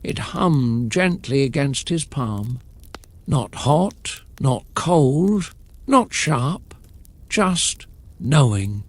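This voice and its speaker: A man narrating a scripted bedtime story in a calm, soothing, measured manner. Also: mid-range voice, dry recording.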